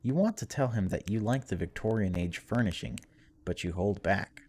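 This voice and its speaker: A man's voice: fairly low pitch, normal speed, a little mellow, a little hiss or hum. A man hosting a gaming video, reading story text aloud dramatically and breaking off for casual, wry asides.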